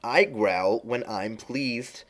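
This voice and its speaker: A man doing a slow-drawling grinning cat. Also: medium pitch, pitched above the performer's own voice. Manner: slow, drawn-out, teasing delivery. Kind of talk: audiobook reading.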